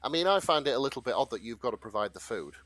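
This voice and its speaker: A British man talks as a podcast co-host in a casual, rambling manner. His voice sits at a middling pitch, hesitating as he retells things, captured dry and close. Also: very animated, rapid-fire, fairly bright tone, dry recording. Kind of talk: casual chat, retelling fanfiction.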